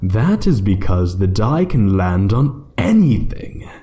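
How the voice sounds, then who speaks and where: A man voicing an ancient, playful yet menacing dragon in a fantasy ASMR roleplay, speaking theatrically and condescendingly, sometimes hushed. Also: fairly low pitch, very animated, slow pace. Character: ancient male dragon, playful, menacing hoard guardian toying with intruder.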